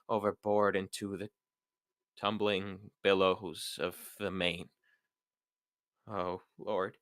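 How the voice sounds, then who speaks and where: A man's voice: mid-range voice, sharp tone, dry recording. Haunted captive nobleman played by a young man, dry close sound. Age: young adult. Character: haunted imprisoned nobleman recounting a dreadful dream. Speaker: student actor. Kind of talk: Shakespearean radio play acting.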